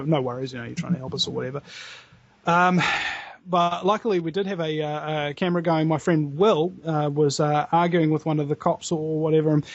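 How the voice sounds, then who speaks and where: A man's voice: a little high, darkish tone, dry recording, lo-fi sound. A man with a New Zealand accent telling stories as a podcast guest, heated and swearing freely. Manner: heated, profane storytelling. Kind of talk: casual podcast interview.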